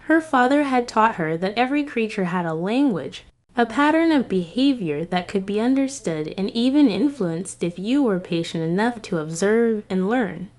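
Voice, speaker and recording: A woman giving scripted history narration in a grave, measured storytelling manner. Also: medium pitch, animated, darkish tone.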